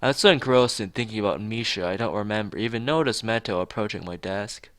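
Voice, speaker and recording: A man's voice: lowish voice, fast talker, a bit bright, no echo, clean studio sound. A man streaming a visual novel, reading the narration aloud and chatting casually with joking asides to viewers.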